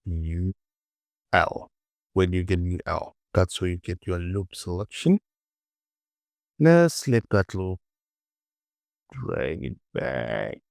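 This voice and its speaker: Man, very low voice, slow pace, casual tutorial narration, repetitive short phrases. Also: very animated, creaky, a little mellow, no room sound, studio quality. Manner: informal, repeats words and short phrases. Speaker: 3D modelling tutor. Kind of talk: casual screen-recorded software tutorial.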